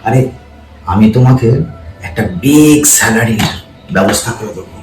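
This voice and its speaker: A man playing a lecherous, smooth-talking company boss in a short-film melodrama, cajoling and boastful. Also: mid-range voice, moderate pace, close to an everyday voice. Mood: insinuating, pushy. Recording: roomy echo, faint background music.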